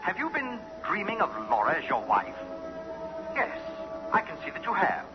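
An actor playing a haughty, sarcastic society gentleman with elaborate, pompous diction in a 1950s radio drama. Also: high-pitched, huge pitch swings, normal speed, music in the background. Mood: taunting. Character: haughty, possessive, acid-tongued society gentleman, rival of a detective. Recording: muffled 1950s radio recording.

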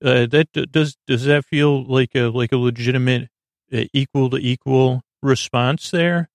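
A man with a creaky voice hosting a sleep podcast, meandering and hesitant as he drifts into tangents to lull listeners. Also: mid-range voice, steady tone, moderate pace, no echo. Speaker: podcast host. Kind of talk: rambling bedtime monologue meant to lull listeners to sleep.

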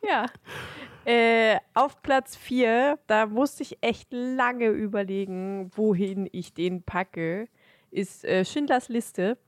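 A woman's voice: a little high, slow pace, no room sound, studio quality. A woman talking casually as a podcast co-host, giving her own rankings in everyday conversational speech. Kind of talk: casual chat, ranking films.

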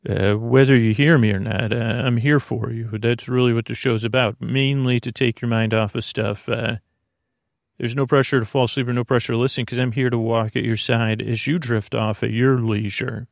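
Creaky-voiced American man, rambling and halting. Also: medium pitch, steady tone, dry recording, clean studio sound. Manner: meandering, halting, keeps trailing off. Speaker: podcast host. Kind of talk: rambling bedtime monologue to help listeners sleep.

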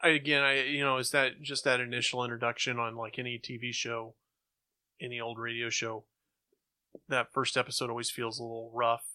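A man's voice: mid-range voice, level tone, fairly quick, pushed and bright. A man co-hosting a podcast, giving his opinions in casual chat. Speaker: podcast co-host.

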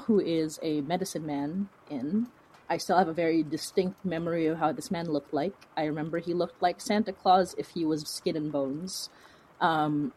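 Female interview guest, lower register with a husky edge, casual storyteller who interrupts herself as she goes. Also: low-quality recording. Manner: animated, chatty, self-interrupting. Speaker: podcast guest, fiction podcast creator.

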